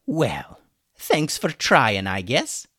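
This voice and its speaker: A man's voice: mid-range voice, huge pitch swings, extremely slow, fairly bright tone, husky, no room sound, pitched above the performer's own voice, full character voice. A man doing a sarcastic chicken wizard. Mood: weary. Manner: sarcastic, dry mocking.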